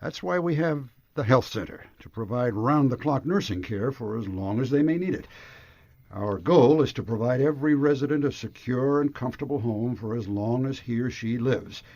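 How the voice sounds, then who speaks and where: An actor playing an anxious, earnest and formal retirement home director in a radio drama. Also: male voice, medium pitch, moderate pace, darkish tone, no echo, natural voice. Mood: earnest, worried. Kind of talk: radio detective drama acting. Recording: dull lo-fi radio broadcast.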